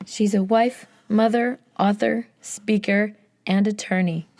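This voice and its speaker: A woman's voice: medium pitch, steady tone, slow pace, darkish tone. A woman hosting an interview podcast, with a scratchy voice today, reading her intro and then chatting eagerly with her guest. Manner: enthusiastic, reads intro script then chats. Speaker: podcast host.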